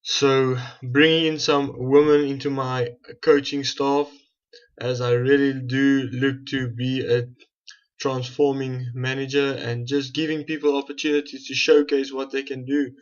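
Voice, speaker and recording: Low, flat-toned South African man, muffled audio. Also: unhurried. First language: Afrikaans. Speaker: gaming video host. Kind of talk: casual gameplay commentary. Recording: dull, muffled lo-fi home recording.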